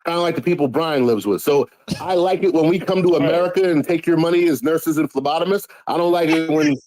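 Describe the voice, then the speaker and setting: A man's voice: fairly high pitch, quick pace, a crowd in the background, low-quality recording. A man from Guyana, a guest musician, telling stories in a sarcastic, profane, casual way. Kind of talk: casual chat on a live audio show.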